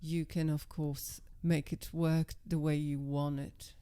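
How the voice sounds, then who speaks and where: A woman guest with a naturally low voice, speaking in a calm, level and assertive way, with slightly non-native English phrasing. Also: slow, clean tone, no room sound. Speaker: interview guest, professional dominatrix. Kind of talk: casual conversation.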